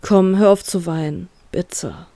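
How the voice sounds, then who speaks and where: A woman's voice: lowish voice, fairly even intonation, slow pace, no room sound. Woman voicing a teasing, tender young man. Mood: tender, consoling. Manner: playful, gently coaxing.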